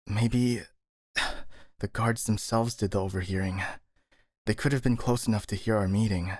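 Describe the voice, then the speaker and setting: A man's voice: lowish voice, fairly even intonation, a little slow, no echo, clean studio sound. A man playing a skeptical, worrying captured master ninja, sighing and hesitant, in a scripted ASMR audio roleplay. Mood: suspicious.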